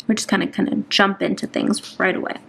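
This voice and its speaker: A woman's voice: mid-range voice, flat delivery, a little fast, gravelly, fairly bright tone. A young woman in her twenties chatting while she decorates a planner, rambling and self-conscious, with lots of ums and restarts. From Atlanta, Georgia, US. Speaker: planner vlogger.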